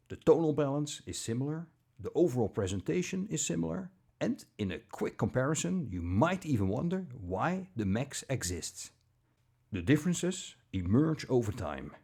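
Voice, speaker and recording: Guy doing a scripted review voiceover, measured delivery, bit of gravel and fry, lively intonation. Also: mid-range voice. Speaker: audio gear reviewer.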